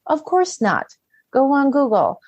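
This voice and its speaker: A woman's voice: sits high, sing-song, a little slow, a little mellow, no echo, lo-fi sound. A middle-aged woman giving conversational coaching advice as an interview guest, often posing questions and acting out inner dialogue.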